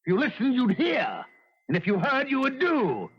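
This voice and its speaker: An actor playing a bitter, temperamental middle-aged concert pianist and harsh mentor, with a husky voice, in 1970s radio drama style. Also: male voice, extremely high pitch, huge pitch swings, normal speed, exaggerated voice. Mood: exasperated. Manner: theatrical, scathing outbursts and weary refusals. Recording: muffled vintage radio drama recording, music behind.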